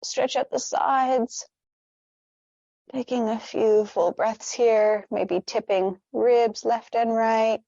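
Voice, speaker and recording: A woman leading a guided meditation with stretches, speaking in a gentle, soothing, unhurried manner. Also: fairly high pitch, fairly even intonation, gravelly, dry recording, dull audio.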